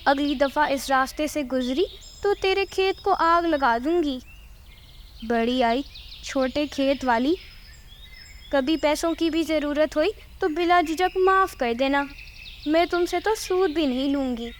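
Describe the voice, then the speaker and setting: A woman's voice: high voice, measured pace, a little hiss or hum. A woman voicing a boastful, spiteful female crow in a cartoon story. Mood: gloating, mocking. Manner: boastful, mocking. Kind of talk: Hindi cartoon voice acting.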